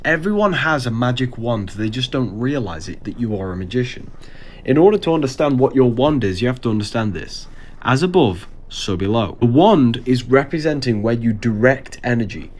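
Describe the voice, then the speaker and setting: A man's voice: mid-range voice, lively intonation, moderate pace. A man giving an instructional spiritual monologue in short, declarative, didactic statements. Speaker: spiritual teacher.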